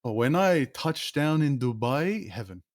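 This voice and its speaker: A young man talks casually and animatedly, tossing in "bro" and laughing often. His voice sits at a middling pitch. It is recorded dry and close, with no room sound. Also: sing-song, a little slow, no echo. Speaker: streamer guest. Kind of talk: casual chat.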